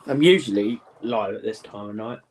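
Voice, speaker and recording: A man's voice: on the low side, normal speed. A man chatting casually on a live stream in an easygoing, agreeable, offhand way. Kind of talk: casual chat.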